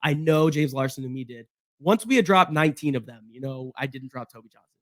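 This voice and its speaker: A man with a hoarse voice from being sick, chatting casually through a solo football roster breakdown with fillers and restarts. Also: mid-range voice, lively intonation, a little fast, no echo. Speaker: sports podcast host.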